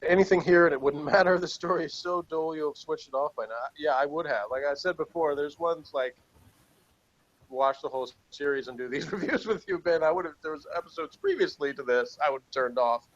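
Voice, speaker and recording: A man's voice: fairly high pitch, brisk. A man chatting casually as a co-host, laughing a lot and rambling with restarts. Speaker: co-host reviewing a TV episode. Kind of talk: casual chat. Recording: dull lo-fi sound.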